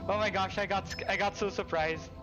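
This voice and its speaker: A man chatting casually as a live game streamer, in an excitable, effusive way that repeats itself. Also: high voice, brisk, highs cut off. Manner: excitable, repeats thanks, chuckles often. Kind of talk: casual livestream chat. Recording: game music behind. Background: game music and character voices.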